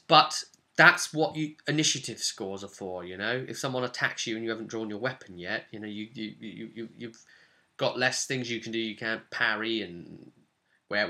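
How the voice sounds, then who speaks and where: A man casually recounting a tabletop role-playing session as game master, in an animated, chatty way with asides. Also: on the low side.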